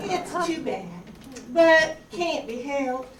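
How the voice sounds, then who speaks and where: A ditzy, scheming Southern belle for radio, extremely high and swooping. She is coy and breezy and draws her words out slowly, in an echoey room. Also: expressive, some chatter behind, full character voice. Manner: coy, breezy, sing-song. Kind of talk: 1950s radio noir acting on stage. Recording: echoey room, distant mic, background noise.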